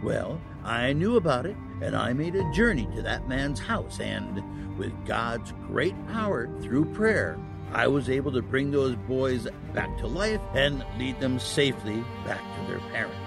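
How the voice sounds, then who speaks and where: A kindly old Saint Nicholas, acted by a man in a family audio drama. His voice is gravelly and mid-pitched, with animated intonation. He explains things patiently, hesitating like a genial grandfatherly figure. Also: unhurried, music in the background.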